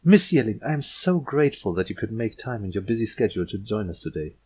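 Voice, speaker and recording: A man's voice: lowish voice, sing-song, fast, darkish tone, slightly rough. A man voicing an earnest, polite young female unicorn princess in a cartoon story, easily flustered. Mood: grateful. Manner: courteous, sputtering, deflated.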